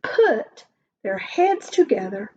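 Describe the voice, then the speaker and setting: A woman reading a children's story aloud like a teacher, with deliberate, expressive phrasing. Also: fairly high pitch, a little hiss or hum. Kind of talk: slow storybook read-aloud. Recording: dull lo-fi recording.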